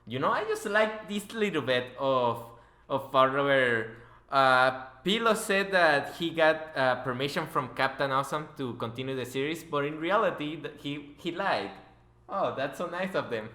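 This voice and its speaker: Bright-voiced joking man, echoey room. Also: a little high, animated, normal speed. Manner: teasing, sarcastic. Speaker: podcast interviewer. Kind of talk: casual joking chat. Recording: roomy, echoey voice recording.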